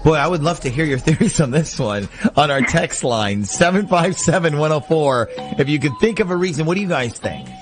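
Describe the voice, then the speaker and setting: A man hosting a morning radio show, chatting and asking informal questions in lively phone-in banter. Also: a little high, a little fast, hardly any pauses, soft background music. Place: radio studio.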